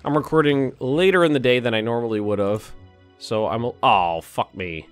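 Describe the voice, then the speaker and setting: A man with a slightly hoarse voice, casually commenting while he plays a city-building game, thinking aloud and cursing now and then. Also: medium pitch, moderate pace, soft background music. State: a little hoarse. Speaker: let's play host.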